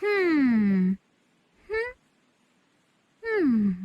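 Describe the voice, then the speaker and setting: A woman's voice: high voice, big pitch swings, very slow, dry recording, dull audio, full character voice. A woman voicing a chipper, quirky young pirate girl in an anime game dub, self-assured and speaking in old-fashioned slang. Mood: playful, musing. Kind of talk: English-dubbed anime game voice acting. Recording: music under the voice. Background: music.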